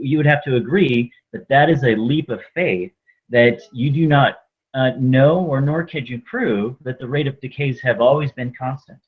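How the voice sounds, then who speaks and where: A man's voice: mid-range voice, breathy edge. Argumentative man on a thin phone line. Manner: argumentative, pressing with many fillers. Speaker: debate guest. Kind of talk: heated online debate.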